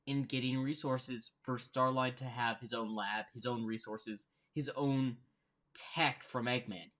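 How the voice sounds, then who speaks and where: A man with a mid-range voice reacts casually as host. He talks in a halting way, circling back to repeat and rephrase his points. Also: medium pitch.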